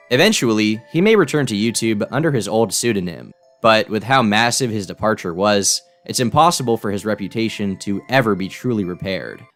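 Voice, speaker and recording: A man narrating a commentary video in a blunt, sardonic voice that sits a little low. He swears freely. Faint music plays underneath. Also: a bit bright. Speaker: YouTube commentator. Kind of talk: scripted documentary narration.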